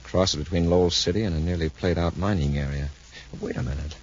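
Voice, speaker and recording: Heroic male commander played by an actor, deep, authoritative, composed, 1950s radio acting, noisy old recording. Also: fairly even intonation, quick pace, a little mellow, muffled recording, natural voice. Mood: calm, decisive. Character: steady, resolute adult male space patrol commander.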